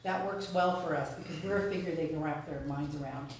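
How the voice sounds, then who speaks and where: A woman officer speaking up at a club meeting, eager and quick to agree. Her voice sits low, and she is heard from a distance across a hall that echoes heavily. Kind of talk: community meeting discussion.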